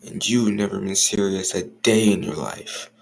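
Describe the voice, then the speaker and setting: A man playing a stern, jealous, irritable office boss in an audio roleplay, curt and guarded, with a gravelly voice. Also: low voice, moderate pace, poor audio. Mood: irritated, stern. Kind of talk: scripted audio roleplay acting.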